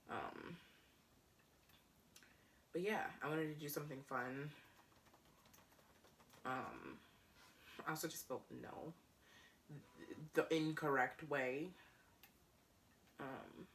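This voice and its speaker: A woman's voice: extremely low pitch, takes it slowly, pauses often, fairly bright tone, far from the mic, a little hiss or hum. A woman in her early twenties from upstate New York, chatting casually and rambling with lots of ums and giggles as a vlogger. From Rochester, New York, USA. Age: 20s. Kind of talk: casual get-to-know-me chat.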